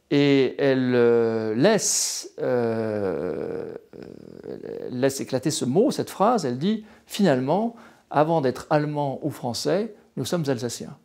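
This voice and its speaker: A man's voice: mid-range voice, darkish tone. A French man in his late sixties, a retired history professor, talks hesitantly in an interview, with many fillers and restarts. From France. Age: 60s. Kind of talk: interview, personal account.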